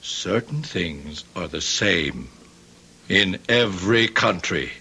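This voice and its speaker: Resigned neighborhood shop owner, husky, measured, talking his friend down, radio drama. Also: deep voice, animated, sharp tone, heavily acted.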